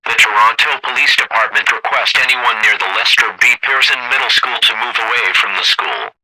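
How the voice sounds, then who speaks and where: A man's voice: on the low side, a little fast, sharp tone, slight background noise, low-quality recording. A man reading scripted emergency broadcast announcements in a formal, impersonal manner.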